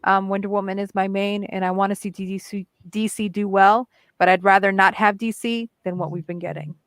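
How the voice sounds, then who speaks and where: A woman co-hosting a casual geek talk show, giggling and pausing with fillers as she talks. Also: mid-range voice, little pitch movement, no room sound, clean studio sound. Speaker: co-host. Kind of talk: casual podcast chat.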